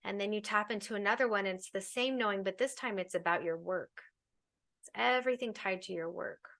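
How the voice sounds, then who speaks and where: A woman teaches a guided meditation in a medium-pitched voice. She gives her instructions in a soothing, unhurried manner. She leaves space between phrases as she leads the listener along. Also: creaky, a bit bright.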